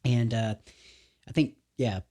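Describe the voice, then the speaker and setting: A man's voice: medium pitch, fairly even intonation, slow pace, warm tone. A man hosting a local podcast, laid-back and informal, often saying dude and restarting his sentences. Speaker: podcast host. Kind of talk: casual interview chat.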